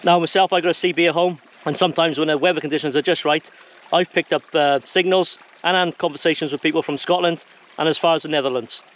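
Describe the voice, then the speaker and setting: Higher-pitched man giving informal instructions. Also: fairly even intonation, quick pace, a bit bright. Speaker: presenter of a prepping how-to video. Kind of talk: informal instructional talk to viewers.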